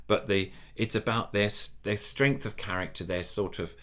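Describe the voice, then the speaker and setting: Older man, low nasal voice. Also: normal speed, a bit bright. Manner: rambling, enthusiastic, many restarts. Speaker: puppeteer guest. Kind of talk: casual interview chat.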